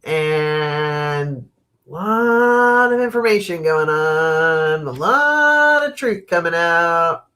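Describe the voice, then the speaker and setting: Sniffly american lady doing a tarot livestream, talks real slow, lots of ums, chuckles at herself. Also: lowish voice, animated, pushed and bright. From United States. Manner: slow, rambling, thinking aloud with many fillers and restarts; laughs at her own asides. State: sniffly from allergies. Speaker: tarot reader and channel host. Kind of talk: live-stream tarot reading, casual chat.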